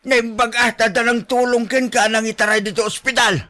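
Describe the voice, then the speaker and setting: A man's voice: extremely high pitch, little pitch movement, moderate pace, pushed and bright. A man playing a stern but caring, devout father in an Ilocano radio drama, admonishing emphatically with an Ilocano accent. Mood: concerned.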